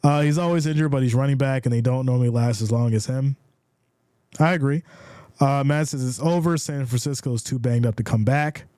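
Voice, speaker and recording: A man's voice: mid-range voice, a little fast, no room sound, clean studio sound. A man with a congested voice doing casual play-by-play commentary on a live stream, calling plays and reading out viewer comments. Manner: builds excitement calling plays.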